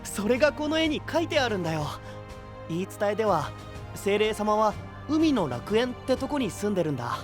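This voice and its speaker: A man playing a cheerful, easygoing young guide to sea legends in an anime-style audio drama, explaining in a breezy, drawn-out way. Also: sits high, sing-song, over music. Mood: animated, explaining.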